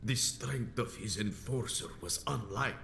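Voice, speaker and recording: An actor playing a badly wounded, monstrous vampire elder in a video game, grave and vengeful, with a breathy rasping whisper and archaic, theatrical phrasing. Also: male voice, on the low side, a little hiss or hum. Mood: bitter, pained. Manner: theatrical, archaic, slow pronouncements. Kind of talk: dramatic video game acting. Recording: echoey, with loud music underneath. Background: music.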